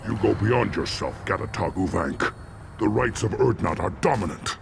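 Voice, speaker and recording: An actor playing a stern, proud alien elder in a video game, with a gravelly voice and formal, declamatory delivery. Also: male voice, lowish voice, expressive, moderate pace, background noise. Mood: scornful, stern.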